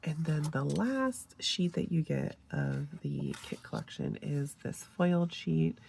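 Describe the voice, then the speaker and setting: A woman getting over a head cold, sounding a little nasal, casually showing off a sticker kit with relaxed, gushing asides. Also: deep voice, unhurried, creaky, a little hiss or hum. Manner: relaxed, gushing, with fillers and soft trailing asides. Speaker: planner sticker reviewer. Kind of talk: casual show-and-tell narration.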